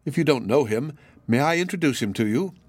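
A man's voice: medium pitch, lively intonation, fairly quick, slightly rough, no room sound. A man voicing an eager, dreamy, well-bred young lady in a storybook, with an earnest and genteel manner. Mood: gracious.

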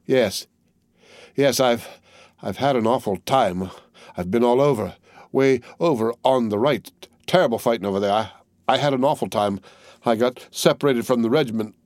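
A man's voice: medium pitch, moderate pace, a little mellow, dry recording, clean studio sound, close to an everyday voice. A man voicing a dazed, wounded young soldier who stammers and falters in rustic speech. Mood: shaken.

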